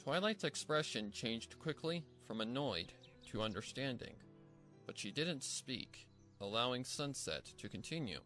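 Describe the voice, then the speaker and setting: A man's voice: mid-range voice, animated, unhurried, a bit bright, soft background music. A man narrating a scripted fan fiction story as a storybook narrator.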